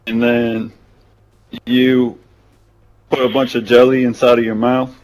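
A man making a prank phone call in a deadpan, hesitant, drawn-out way. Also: medium pitch. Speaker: prank caller.

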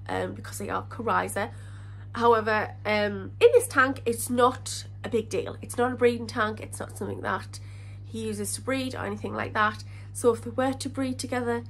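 A woman's voice: a little high, slight background noise, low-quality recording. Stuffy-nosed chatty woman vlogger. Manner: chatty, rambling, self-correcting. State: congested, slightly crackly voice from a cold. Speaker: aquarium channel host. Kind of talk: casual talk to camera. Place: living room in front of a fish tank.

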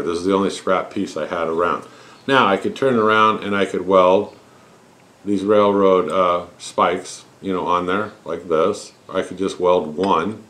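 A man's voice: low-pitched, slight background noise. A man in his fifties explaining things step by step in a casual, folksy way, as the host of a hands-on tutorial. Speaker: host of a home welding tutorial channel. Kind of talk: casual explanatory shop talk. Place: cluttered home garage workshop.

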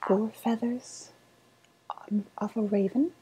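Breathy, whispering woodland witch, acted by a woman. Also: a little high, fairly even intonation, heavily acted, poor audio. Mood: hushed, ritual. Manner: slow, deliberate, hushed, ominous. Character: sly woodland witch, eerily sweet and foreboding. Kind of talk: softly spoken ASMR roleplay.

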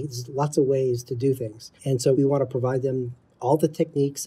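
A man's voice: mid-range voice, warm tone, husky. A middle-aged man explaining training methodically, as an instructor answering interview questions. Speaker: air traffic control instructor, interview guest.

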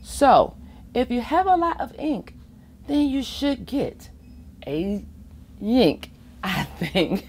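A middle-aged woman reading a children's picture book aloud in an expressive sing-song way, with playful asides. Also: a little high, slow pace, pauses often. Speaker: volunteer children's storybook reader. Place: school or public library children's corner, indoors. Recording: faint room ambience noise.

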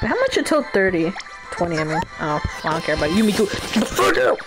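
A young woman gives casual playthrough commentary in a lively, swooping voice. She is slangy and offhand, and game music plays clearly behind her. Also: lowish voice, fast. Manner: slangy, swearing, offhand reactions. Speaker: game streamer.

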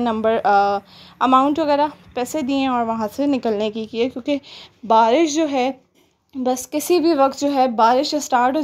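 A woman's voice: sits high, a little mellow. A young woman with a Pakistani Urdu accent narrating a shopping vlog in a chatty, rambling way with many fillers. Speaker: vlogger.

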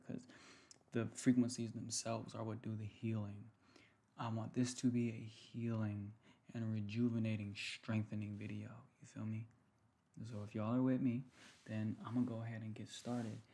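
A man's voice: fairly low pitch, dark tone. A young man talking casually to viewers in an ASMR style, with a soothing, affectionate, slangy manner. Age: young adult. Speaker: ASMR video creator. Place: small room at home, indoors. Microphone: desk mic close in front.

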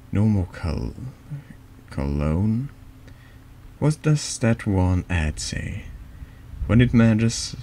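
Man voicing a taunting stranger, extremely low, gravelly, snide, persistent, horror game dialogue, faint background noise. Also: a little mellow, full character voice. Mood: mocking, menacing. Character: taunting, insinuating man in a confessional.

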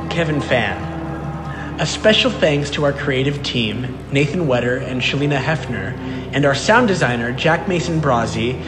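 Man, announcer, scripted credits read, somewhat high pitch, clear background music. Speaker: announcer for a theatre company.

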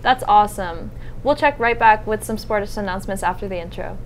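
A woman's voice: mid-range voice, a little fast, over loud music. A teenage girl reading a school newscast as anchor at a studio desk, upbeat and scripted. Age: teens. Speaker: student news anchor.

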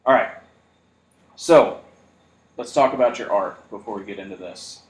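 A man's voice: fairly low pitch, normal speed. A man hosting a podcast in casual chat, joking and self-deprecating. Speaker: podcast host. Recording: roomy echo.

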